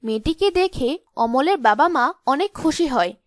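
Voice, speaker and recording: High-voiced woman narrating a folk tale. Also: lively intonation, moderate pace, dry recording, clean studio sound. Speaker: storyteller. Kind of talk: scripted fairy tale narration.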